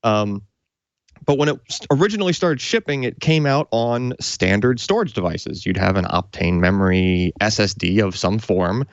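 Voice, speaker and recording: A man's voice: lowish voice, moderate pace, no room sound, highs cut off. A man casually explaining technical hardware as a tech show co-host, in an informal, conversational way.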